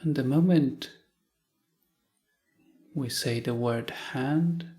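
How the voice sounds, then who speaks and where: A man leading a guided meditation in short, calm phrases with long pauses. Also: mid-range voice. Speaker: meditation teacher.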